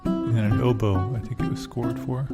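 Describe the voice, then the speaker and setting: A man playing a mild, hesitant, politely skeptical character in deadpan improv comedy, with a gravelly everyday voice. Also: medium pitch, loud music behind the voice, natural voice. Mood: earnest musing. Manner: halting, mild, tentative. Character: hesitant, politely skeptical male customer or musing music pundit.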